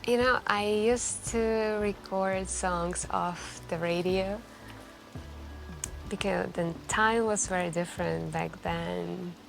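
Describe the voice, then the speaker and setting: Woman acting as a wistful café stranger, soft-spoken, slow, slightly creaky, intimate, hesitant, ASMR roleplay. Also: on the low side, steady tone, faint music behind. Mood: nostalgic, musing. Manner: intimate, hesitant, musing, with gentle pauses.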